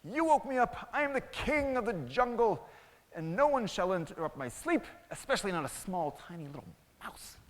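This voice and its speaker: A big, proud storybook lion played by a man, grumpy and pompous as king of the jungle. His delivery swings widely in pitch and echoes through a large hall. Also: big pitch swings, normal speed. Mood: angry, menacing. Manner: pompous, blustering. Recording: echoey hall with long reverb.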